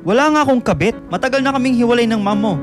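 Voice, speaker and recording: High-pitched worried father. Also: racing, music in the background. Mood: worried, scolding. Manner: reproachful, questioning. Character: worried, scolding middle-aged father. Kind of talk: radio drama dialogue.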